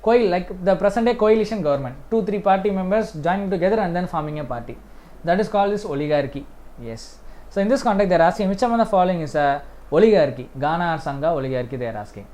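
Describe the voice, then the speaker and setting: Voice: mid-range voice, animated, quick pace, darkish tone. A teacher with an Indian accent lecturing through exam questions step by step, repeating points and often punctuating them with a short yes. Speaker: exam-prep history teacher.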